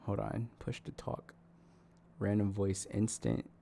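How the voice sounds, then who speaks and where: A man livestreaming and chatting loosely with his viewers, loud, profane and jokey, saying he is losing his voice. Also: lowish voice, level tone, takes it slowly, vocal fry, a little hiss or hum. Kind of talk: casual stream chat to viewers.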